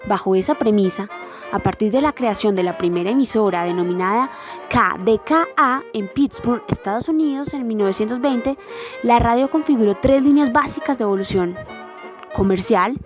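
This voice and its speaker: High-voiced woman narrator over loud music. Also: moderate pace. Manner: formal, reading from a script. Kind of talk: scripted documentary narration.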